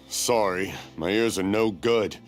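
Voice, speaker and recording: A man voicing a weary, apologetic older townsman in a video game, speaking slowly with sighs, music behind. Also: low-pitched. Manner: slow, apologetic, sighing. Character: weary older townsman who is hard of hearing. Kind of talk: English video game voice acting.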